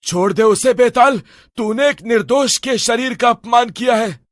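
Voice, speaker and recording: A man's voice: very high-pitched, measured pace, no echo, studio quality. A man playing a stern old holy man who fights demons, speaking with urgent, incantatory command in a horror story. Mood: urgent warning. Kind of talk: Hindi animated horror story acting.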